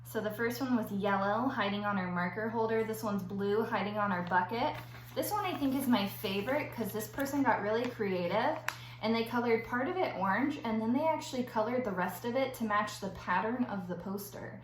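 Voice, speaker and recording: Lady teacher doing a kids read-aloud, highish voice, roomy echo, bit of noise behind her. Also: brisk. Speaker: elementary school teacher. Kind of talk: children's read-aloud and activity lesson. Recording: echoey room with faint background noise.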